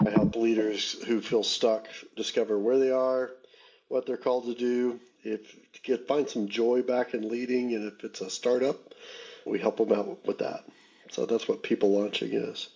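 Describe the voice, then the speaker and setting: A man's voice: mid-range voice, fairly even intonation, normal speed, a little mellow, slightly rough, lo-fi sound. A middle-aged man from Texas, a leadership coach, telling his background in a conversational interview.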